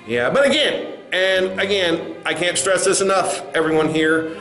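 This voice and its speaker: A man's voice: sits high, measured pace, fairly bright tone. A man playing a smug, dismissive corporate spokesman in a comedy sketch, stammering through condescending doublespeak. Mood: smug, evasive. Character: smug, dismissive male corporate spokesman facing angry creators. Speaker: spokesman at a press address. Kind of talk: satirical comedy sketch speech. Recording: roomy echo with faint music.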